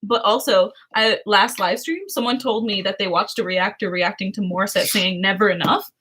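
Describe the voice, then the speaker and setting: A woman's voice: mid-range voice, steady tone, a little fast, fairly bright tone, slightly rough. A woman chatting casually with her sister on a livestream, rambling and giggling as she reads out viewer comments. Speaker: livestream co-host. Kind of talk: casual livestream chat with a sibling.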